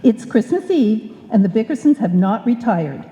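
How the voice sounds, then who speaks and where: A woman's voice: a little high, very animated, normal speed, warm tone. A woman announcer reading scripted narration for a live old-time radio show. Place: indoor stage before a live audience. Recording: dull live recording in reverberant hall.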